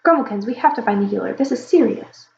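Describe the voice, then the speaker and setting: A woman's voice: a little high, lively intonation, fast, gravelly, darkish tone, slight background noise. A woman voicing an anxious, protective mother dragon with an urgent tone. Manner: urgent, fretful. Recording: roomy, slightly dull recording.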